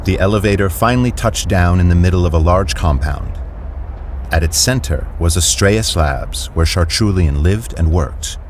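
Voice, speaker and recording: A man narrating an audio drama in a measured, descriptive way. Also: low voice, a little hiss or hum. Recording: clear music bed underneath.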